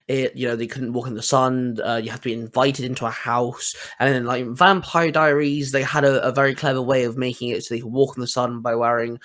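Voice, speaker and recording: A man with an average-pitched voice hosts a casual podcast conversation. He is informal and wordy, working his way around to each question in an everyday, chatty style. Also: little pitch movement, brisk, few pauses, a bit bright. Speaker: podcast host asking questions.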